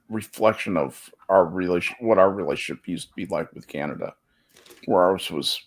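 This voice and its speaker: A man's voice: sits low, breathy edge. A man chatting casually as a talk show panelist, musing in a wry way. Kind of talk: casual chat.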